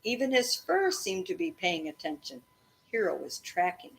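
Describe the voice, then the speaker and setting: A woman reading a children's story aloud expressively, in an animated way meant to engage a young listener. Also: medium pitch, normal speed, gravelly, a little hiss or hum, poor audio.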